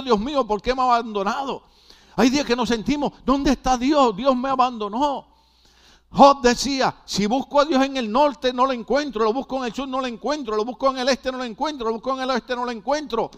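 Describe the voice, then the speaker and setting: An older man from Puerto Rico with a Puerto Rican Spanish accent, preaching a sermon and asking his congregation questions. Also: very high-pitched. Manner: builds to loud, emphatic climaxes. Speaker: pastor.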